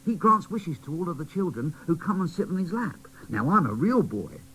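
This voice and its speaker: A man's voice: on the high side, moderate pace, slight background noise, voice pushed up, rough recording. A man voicing an eager little boy doll come to life in a storybook reading. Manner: bright, eager and excited.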